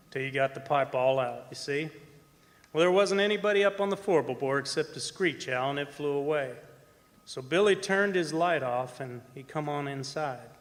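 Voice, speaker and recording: A man playing a folksy oil-field roughneck telling a horror tale in a radio play, in colloquial, halting speech. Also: on the high side, fairly bright tone, lightly acted. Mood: folksy, explanatory. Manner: folksy, colloquial storytelling with hesitant repeats. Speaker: actor narrating a radio play. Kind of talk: radio horror drama performance, first-person narration. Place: performing arts center stage. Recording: echoey hall stage recording with music.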